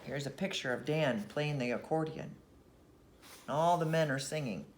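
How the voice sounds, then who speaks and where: A woman reading a bedtime story aloud as narrator, expressive and deliberate. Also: low voice, a little hiss or hum.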